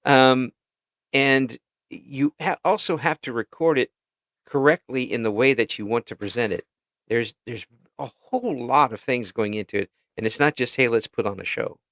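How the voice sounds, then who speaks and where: A man chatting casually as an interviewer, halting and given to chuckling as he talks. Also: mid-range voice, fairly bright tone, no echo.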